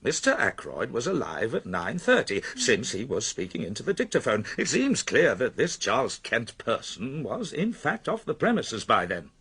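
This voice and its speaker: An actor playing a skeptical, well-mannered secretary in a radio mystery, questioning and reasoning politely. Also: male voice, mid-range voice, wildly swooping pitch, normal speed, hardly any pauses, fairly bright tone, husky, exaggerated voice. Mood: puzzled, reasoning. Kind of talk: radio mystery drama acting.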